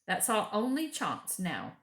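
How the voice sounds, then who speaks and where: A woman's voice: mid-range voice, huge pitch swings, unhurried, vocal fry, fairly bright tone, heavily acted. A woman reading as a blunt, grave beaver, echoey room. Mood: grim, certain. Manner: emphatic, blunt, weighty pronouncements. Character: gruff, grave, wary male talking beaver. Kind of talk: children's storybook character reading.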